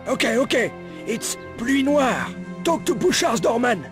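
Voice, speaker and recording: A grudging old underworld informant in a game cutscene, pitched extremely high. He is shifty and wary, grumbling as he bargains, with clear music behind the voice. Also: big pitch swings, a little slow, full character voice. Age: older. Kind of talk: video game cutscene acting.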